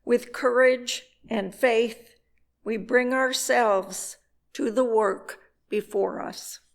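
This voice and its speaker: A woman's voice: on the high side, steady tone, slow pace, creaky. A woman giving a scripted ceremonial reading at a church service. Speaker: chalice lighter.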